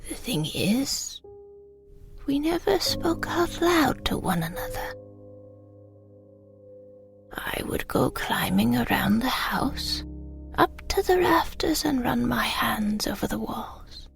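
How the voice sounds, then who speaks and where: A woman playing a frail, wistful elderly British mother remembering her wartime childhood in a hushed, breathy audio drama monologue. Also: fairly high pitch, very animated, faint music behind, heavily acted. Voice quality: breathy, whispery. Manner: slow, hushed reminiscing.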